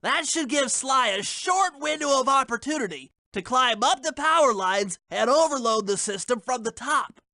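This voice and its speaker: Bright, piercing, brainy gadget nerd. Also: male voice, extremely high pitch, expressive, normal speed, few pauses, no echo, heavily acted. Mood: earnest, instructive. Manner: precise, formal, instructive. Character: brainy, fussy male gadget expert of a thief gang. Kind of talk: video game mission dialogue.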